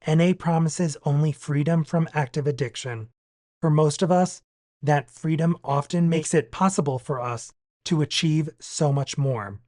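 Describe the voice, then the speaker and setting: A man's voice: fairly high pitch, unhurried, darkish tone, no echo, studio quality. A man reading a daily reflection aloud for a podcast. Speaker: podcast guest reader.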